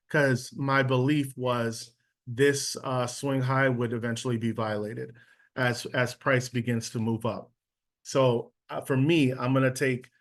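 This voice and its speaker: A man teaching a casual live trading lesson over a video call, checking in often with his listeners. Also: mid-range voice. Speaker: trading instructor.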